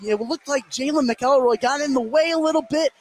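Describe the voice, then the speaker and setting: A man doing live radio play-by-play of a basketball game, getting excitable on big plays. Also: very high-pitched, fairly quick, a crowd in the background. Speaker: play-by-play commentator.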